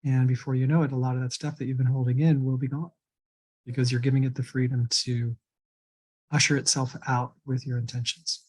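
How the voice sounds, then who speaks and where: A man teaching an online workshop on personal energy, calm and reassuring as he reviews grounding skills. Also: medium pitch, a little fast, breathy edge. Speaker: workshop instructor.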